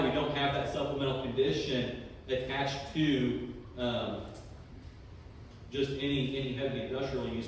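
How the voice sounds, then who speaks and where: A Texan man presents to a public meeting as city planning director, easygoing and conversational, often pausing on "um". His voice sits at an ordinary middle pitch and comes across from a distant mic. A long reverb rolls through the big chamber, with a faint hiss underneath. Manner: conversational, plain-spoken with frequent ums. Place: large echoey council chamber. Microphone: room microphone heard from a distance. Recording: echoey hall from far off.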